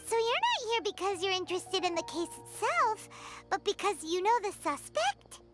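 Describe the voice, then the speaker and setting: A woman voicing a small, chirpy, nosy fairy companion in a video game, with a squeaky childlike voice. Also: sing-song, moderate pace, full character voice. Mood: curious. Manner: refers to self in third person, exclaiming. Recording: loud music under the voice. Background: game music.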